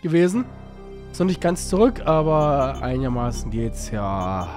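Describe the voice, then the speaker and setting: A man with a cold and a hoarse voice, casually commenting on a game he is playing, rambling and reading in-game text aloud. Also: mid-range voice, sing-song, normal speed, darkish tone, faint music behind. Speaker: let's play host.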